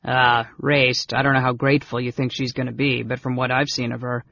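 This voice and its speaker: A performer voicing a big, gentle, slow-witted male warrior with an awkward, hesitant, good-natured manner, in a fantasy story. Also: mid-range voice, little pitch movement, gravelly, muffled recording. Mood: hesitant, pleading.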